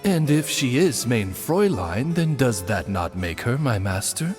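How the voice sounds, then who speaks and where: A man's voice: mid-range voice, big pitch swings, unhurried, music in the background. A man playing a loyal raven servant in a video game, speaking with formal, polite, dryly witty deference. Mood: courtly, composed.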